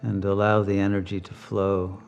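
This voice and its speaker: A man leading a guided meditation as a teacher, speaking calmly and deliberately with long pauses. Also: low-pitched, vocal fry, soft background music.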